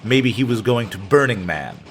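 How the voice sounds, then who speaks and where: An actor playing a polite, hesitant dining car attendant in a comedic audio drama. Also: male voice, fairly low pitch, animated, moderate pace. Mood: cautious, obliging. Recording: noticeable background noise, train ambience.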